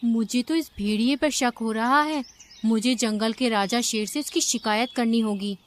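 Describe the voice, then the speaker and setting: A woman voicing a caring, righteous female bird in an animated fable, speaking in an earnest, concerned way. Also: fairly high pitch, moderate pace, a little hiss or hum, lightly acted. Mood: suspicious.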